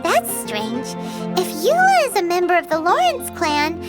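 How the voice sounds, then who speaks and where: A woman voicing a tiny, excitable, blunt childlike fairy companion in a video game, with a squeaky, expressive voice. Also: moderate pace, exaggerated voice. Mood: curious, puzzled. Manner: expressive, refers to self in third person. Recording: loud game music behind.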